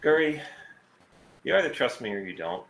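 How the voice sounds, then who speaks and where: A man's voice: medium pitch, very animated, normal speed, gravelly, a bit bright. A man plays a confident mercenary pilot in a space adventure script. He is clipped and evasive, keeping cool under questioning. The voice sits in a roomy, echoing space with noticeable background noise. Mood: firm, challenging. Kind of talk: table read of a sci-fi script.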